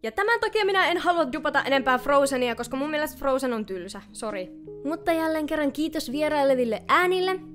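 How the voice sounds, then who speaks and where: A woman's voice: high voice, animated, fairly bright tone, faint music behind. A young woman in her twenties chatting casually as a YouTuber who makes fan dubs. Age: 20s. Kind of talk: casual vlog chat. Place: home kitchen, indoors. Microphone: large studio mic close to the face.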